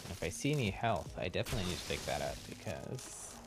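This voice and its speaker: A man's voice: low-pitched, sing-song, fast talker. A man chatting casually and in a friendly, welcoming way to viewers while streaming a game. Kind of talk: casual live gaming chat and commentary. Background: game music.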